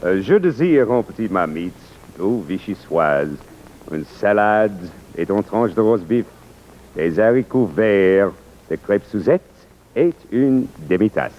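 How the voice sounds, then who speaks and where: A dull, slightly noisy old radio recording carries a deep male voice with a French accent. a courteous, flustered Frenchman, earnest and naive, with swooping, expressive intonation. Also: unhurried. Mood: bewildered. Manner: hesitant, polite, slips into French phrases. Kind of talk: 1940s-50s radio comedy acting.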